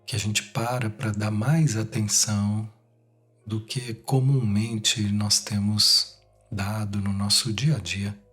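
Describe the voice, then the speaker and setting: A Brazilian man leads a guided meditation in a low, slightly husky voice. He speaks very slowly, in short phrases separated by long pauses. Soft music plays behind him. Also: flat delivery.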